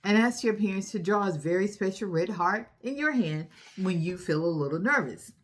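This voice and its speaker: A woman's voice: medium pitch, expressive, normal speed. A woman hosting a storytime for young children, recapping a story in an encouraging, instructive way and putting questions to her young listeners.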